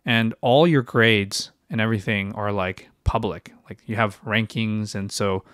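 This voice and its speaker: Low-voiced, halting young man. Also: steady tone, a bit bright, clear, resonant tone, no room sound, clean studio sound. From China. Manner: hesitant, restarts sentences, many fillers. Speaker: podcast co-host, physician. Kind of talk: casual podcast chat.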